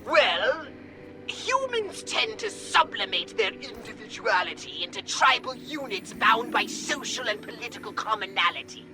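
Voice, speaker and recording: A man voicing a pompous, excitable alien commander in a video game, speaking in a grandiose, theatrical, wordy way. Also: very high-pitched, measured pace, vocal fry, music in the background, exaggerated voice, poor audio. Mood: instructive. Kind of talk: video game cutscene acting.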